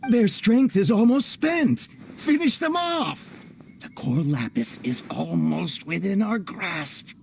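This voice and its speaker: Creaky, blustering merchant villain. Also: male voice, high-pitched, animated, slow pace, darkish tone, a little hiss or hum, heavily acted. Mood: menacing. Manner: pompous, melodramatic, blustering. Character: pompous, blustering, greedy merchant villain, a grown man. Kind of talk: theatrical video game voice acting.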